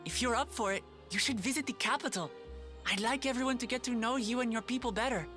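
An actress playing an earnest, friendly young warrior princess in a video game, open and plain-spoken. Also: female voice, fairly high pitch, fast talker, fairly bright tone, soft background music, close to an everyday voice. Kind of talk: video game cutscene acting.

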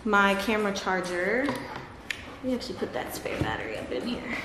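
A woman's voice: mid-range voice, sing-song, normal speed. A woman, chatting casually to camera in a vlog, laughing often and talking to her dogs. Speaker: vlogger. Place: large bright living room at home. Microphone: camera on a table close by. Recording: echoey room with clear background noise.

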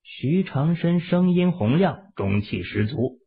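Male audiobook narrator, husky, lively pitch swings, thin phone-like sound. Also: slow pace. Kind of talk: expressive scripted novel narration. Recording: muffled, phone-like narrow sound.

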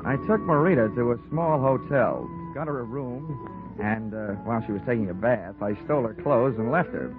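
A man's voice: medium pitch, moderate pace, music in the background, close to an everyday voice. A man playing a cynical, wisecracking investigator with an American accent, dry and slangy in 1940s radio style. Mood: wry narration. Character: wisecracking, cynical hard-boiled male investigator. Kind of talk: 1940s radio acting and narration. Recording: tinny 1940s radio recording.

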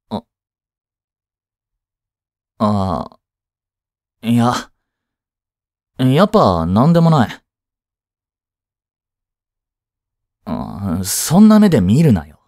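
A man acts out a high school boy in a romantic audio drama, the childhood friend with a jealous streak. He is blunt and casual but easily flustered. His delivery takes its time, with a very mobile pitch, in a close, dry recording. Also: darkish tone, dry recording. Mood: flustered, evasive. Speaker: voice drama actor. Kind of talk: Japanese audio drama acting for women listeners.

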